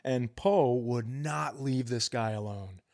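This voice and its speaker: A man's voice: mid-range voice, takes it slowly, vocal fry, darkish tone. A man co-hosting a comedy podcast, telling stories casually and bluntly, swearing and acting out the exchanges he quotes. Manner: foul-mouthed, blunt, acts out quoted exchanges.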